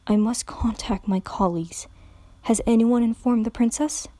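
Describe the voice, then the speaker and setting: A woman voicing a grave older stallion doctor, hushed and measured. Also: fairly high pitch, dry recording, natural voice.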